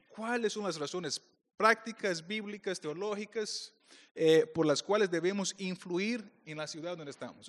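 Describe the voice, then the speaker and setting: A man's voice: sits high, a bit bright. A middle-aged man from Brazil, a native Portuguese speaker with a Brazilian accent, giving a playful conference talk as a speaker for a church group. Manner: joking, playful with the audience. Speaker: pastor speaker. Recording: long hall reverb.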